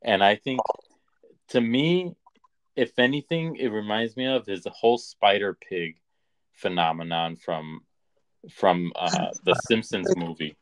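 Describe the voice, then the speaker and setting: A man co-hosting a podcast in casual conversation, opinionated and halting as he restarts his points. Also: lowish voice, rough recording. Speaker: podcast co-host. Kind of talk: casual chat.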